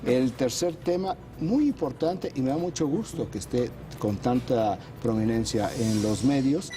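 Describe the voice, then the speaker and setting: A man, a regular on a political panel show, presenting a news roundup in a measured, explanatory way with frequent hesitations. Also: medium pitch, mellow, a little hiss or hum.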